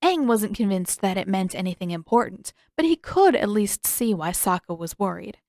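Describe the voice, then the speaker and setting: A woman's voice: mid-range voice, animated, normal speed, darkish tone, no room sound, clean studio sound. A woman reading scripted fiction aloud as an audiobook narrator.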